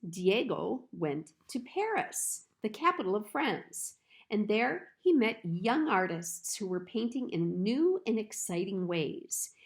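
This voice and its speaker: A woman reads a picture book aloud for children. She takes her time, placing each phrase deliberately. Her mid-pitched voice moves through lively, expressive rises and falls as she tells the story.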